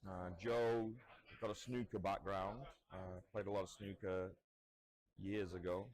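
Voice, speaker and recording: A man commentating live on a pool match, talking loudly and in a chatty way. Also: low voice, level tone, measured pace, poor audio. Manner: loud, chatty, laughs easily. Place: commentary spot at a pool venue.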